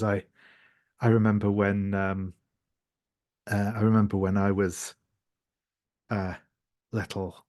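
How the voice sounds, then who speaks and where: A man telling an improvised tabletop roleplay story, haltingly searching for words. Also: lowish voice, darkish tone, no echo, clean studio sound. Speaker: tabletop game participant.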